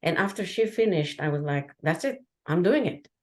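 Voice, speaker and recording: A woman whose first language is Hebrew, a podcast guest telling her story in a halting way, with many restarts. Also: lowish voice, lively intonation, darkish tone. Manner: halting, frequent restarts and fillers. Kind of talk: candid conversational storytelling.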